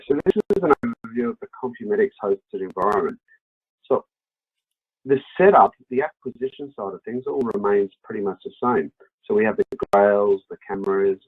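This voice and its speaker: A man's voice: lowish voice, slightly rough. An Australian man with an Australian accent presenting a webinar walkthrough in a conversational, explanatory way. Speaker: company product presenter. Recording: dull, lo-fi webinar audio.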